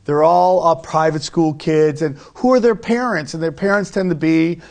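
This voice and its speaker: Higher-pitched man, emphatic conversational storyteller. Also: normal speed, darkish tone. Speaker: comedian and podcast host, interview guest. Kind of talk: casual conversational interview.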